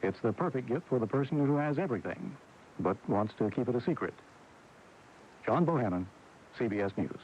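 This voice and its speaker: A man's voice: medium pitch, lively intonation, fairly quick, long pauses, darkish tone. Radio newsman on a dull, hissy recording. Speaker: radio news anchor. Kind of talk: scripted network radio news reading. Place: radio studio.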